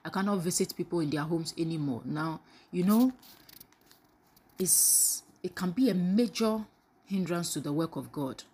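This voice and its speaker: Woman preacher, lowish husky voice, questioning the listeners and acting out their excuses. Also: animated, moderate pace, mellow. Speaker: preacher leading a daily devotional. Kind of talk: live devotional sermon.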